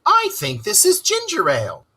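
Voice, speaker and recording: A man's voice: very high voice, huge pitch swings, moderate pace, pushed and bright, husky, pitched above the performer's own voice, full character voice. A man voicing a hearty, masterful rat who bosses everyone genially in a storybook read-aloud. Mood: approving.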